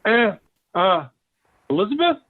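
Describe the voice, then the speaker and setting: An actor playing a hesitant, henpecked middle-aged husband in a 1940s radio sitcom, on a thin old recording. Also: male voice, high-pitched, sing-song, phone-quality sound, exaggerated voice. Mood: hesitant, placating. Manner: hesitant, halting.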